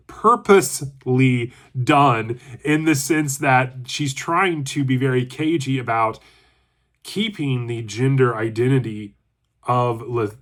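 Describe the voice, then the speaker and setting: Congested guy doing a laid back book review, chatty, kinda thinking out loud. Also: medium pitch. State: congested from allergies. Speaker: book reviewer and channel host. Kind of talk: casual book review talk.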